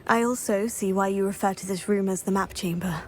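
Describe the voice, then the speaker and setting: A woman's voice: mid-range voice, steady tone, fairly quick, close to an everyday voice. An actress playing an eager young female wizard student in a video game, talking in an eager, chatty way. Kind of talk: video game cutscene acting.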